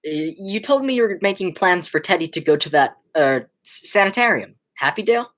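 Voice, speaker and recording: A teenage woman playing an agitated, horrified young man who stammers and exclaims in a high school radio play. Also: lively intonation, brisk, a bit bright. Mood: urgent, grave.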